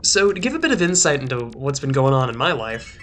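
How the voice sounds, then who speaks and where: A young man doing casual gameplay commentary in a rambling, filler-heavy way, his voice a little rough from a recent sore throat. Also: medium pitch, very animated, fast, fairly bright tone. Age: young adult. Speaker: let's play host. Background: faint game music.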